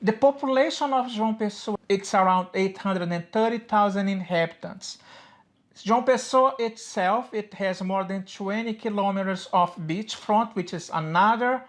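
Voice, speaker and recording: A woman's voice: mid-range voice, normal speed. Brazilian woman, portuguese accent, chatty and upbeat, little grammar slips, just explaining stuff to camera. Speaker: co-host of a personal finance channel. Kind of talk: casual explanatory chat to camera.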